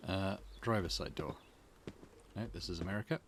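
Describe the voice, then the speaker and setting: A man's voice: sits low, normal speed, a little hiss or hum. A man giving casual gameplay commentary as a gaming channel host.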